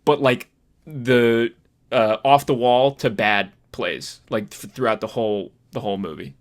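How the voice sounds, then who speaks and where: Casual, halting male podcast host. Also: medium pitch. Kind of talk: casual film discussion.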